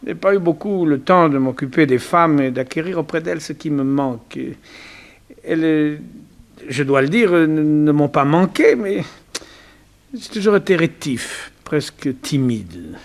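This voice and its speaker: Radio drama role: bashful, blunt forty-year-old tycoon, highish voice, halting and repeating himself. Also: male voice, expressive. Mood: shy, earnest. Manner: hesitant, stammering, repeating words. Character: forty-year-old self-made immigrant banker, awkward, bashful, blunt. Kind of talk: 1960s French radio drama acting.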